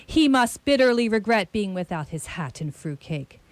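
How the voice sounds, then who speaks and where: A woman playing a shrewd, commanding detective in a radio play, with grandiloquent, deliberate phrasing. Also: medium pitch, sing-song, no room sound, lightly acted. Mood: confident, deducing. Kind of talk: radio theatre acting.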